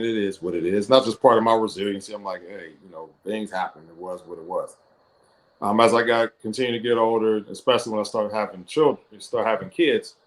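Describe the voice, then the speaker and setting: A man's voice: lowish voice, low-quality recording. A middle-aged man from Ohio, a guest coach telling his life story in an interview, reflective and full of fillers. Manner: reflective, with frequent fillers and restarts. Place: interview on a video call. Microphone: computer or headset microphone on a video call.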